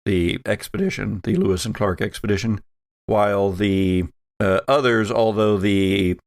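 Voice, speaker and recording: A man narrating a tabletop roleplaying game as game master, speaking in a halting, hesitant way. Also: lowish voice, steady tone, dry recording.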